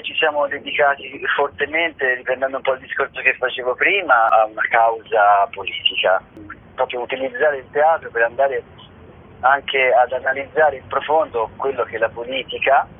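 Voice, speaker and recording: A man, giving an unscripted interview as an artistic director, hesitant and often repeating himself as he searches for words. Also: lowish voice. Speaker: theatre festival artistic director being interviewed. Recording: tinny phone line with clear noise.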